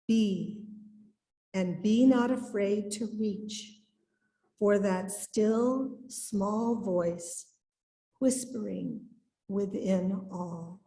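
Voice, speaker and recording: A woman delivering a sermon and prayerful benediction in a solemn, deliberate way, with measured phrases and pauses. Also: mid-range voice, warm tone. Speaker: lay preacher reading a sermon and benediction. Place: church service in a reverberant room. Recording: roomy, echoing sound.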